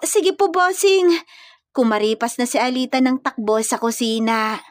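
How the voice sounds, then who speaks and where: A woman reads romantic comedy fiction as a storyteller. Her voice sits fairly high. The recording is dry and close, with very little room sound. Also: no echo. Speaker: story narrator.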